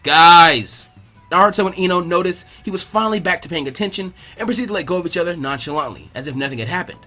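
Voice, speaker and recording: A man's voice: high-pitched, racing, sharp tone. Male story narrator over background music. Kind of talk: scripted fan fiction narration. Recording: music behind the voice.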